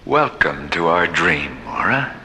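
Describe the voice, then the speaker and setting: An actor playing a solemn, wise alien leader in a radio science fiction drama, speaking in a measured, ceremonial way. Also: male voice, a bass voice, sing-song, pushed and bright, slight background noise, full character voice. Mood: solemn, soothing. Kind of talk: old-time radio science fiction acting. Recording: long reverb, music underneath.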